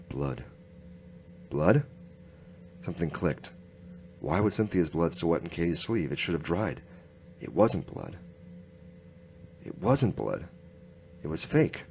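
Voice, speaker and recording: Man acting a deep-voiced, grim noir detective. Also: darkish tone, slight background noise. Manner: slow, terse, dramatic phrasing. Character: noir detective narrator, grim and bewildered, piecing things together. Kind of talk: scripted radio drama narration.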